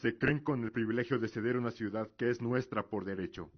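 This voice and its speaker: A man's voice: mid-range voice, a little fast, natural voice. A man playing a proud, grieving father and imperial commander in a radio drama, speaking formally and sternly with resolve. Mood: stern contempt. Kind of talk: Spanish-language radio drama acting. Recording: dull lo-fi audio.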